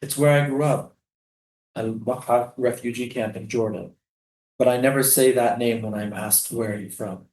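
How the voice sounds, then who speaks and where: A man's voice: mid-range voice, steady tone, moderate pace, a little mellow, a little hiss or hum, natural voice. A man acting a dreamy, defiant prisoner, roomy echo. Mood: defiant, reflective. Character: Palestinian male prisoner, dreamy, tender, defiant refugee. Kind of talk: staged play reading, poetic monologue. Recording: roomy, echoing live stage reading.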